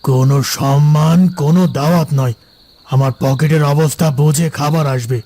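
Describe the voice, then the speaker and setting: A man's voice: a little high, steady tone, normal speed, mellow, natural voice. A man playing a stingy, stubborn old village father-in-law in a cartoon, curt and scolding over music. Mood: grumbling. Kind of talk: cartoon dialogue.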